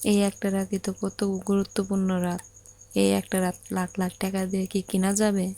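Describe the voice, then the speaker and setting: A woman's voice: mid-range voice, monotone, fairly quick, mellow, close to an everyday voice. A woman playing a lovesick young bride in an audio story, pleading insistently and plaintively with a Bangladeshi accent. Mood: pleading, frustrated. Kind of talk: Bengali audio story acted as a phone conversation.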